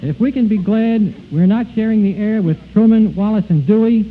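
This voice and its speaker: A man's voice: sits high, fairly quick. An American man reciting as a comic sidekick on a 1940s radio variety show. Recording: tinny, noisy 1940s radio broadcast.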